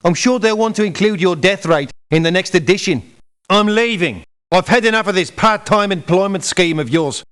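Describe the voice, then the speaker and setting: An actor voicing a proud, sardonic doctor turned mercenary in a video game, haughty and scolding. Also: male voice, high-pitched, normal speed, fairly bright tone. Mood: indignant. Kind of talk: video game character lines.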